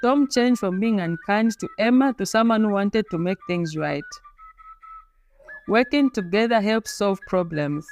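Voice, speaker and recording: A woman narrates a scripted folktale as a storyteller. Her pitch sits in the middle range for a woman. She tells it plainly in short, simple sentences, with music playing behind her voice.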